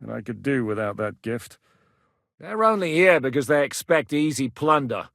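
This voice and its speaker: A gruff medieval war captain for a game, dry and blunt, really animated pitch, close dry sound. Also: male voice, normal speed, no echo. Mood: wry, resolute. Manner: blunt, commanding, sardonic. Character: battle-hardened medieval rebel commander, gruff, dry-humoured, resolute. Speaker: military commander in a video game. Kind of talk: dramatic video game character acting.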